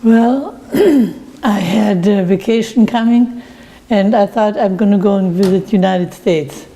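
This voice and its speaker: A woman's voice: medium pitch, slow pace, warm tone. An older woman from Germany, a winery owner, telling in a plain, storytelling way how she came to America. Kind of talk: oral history interview.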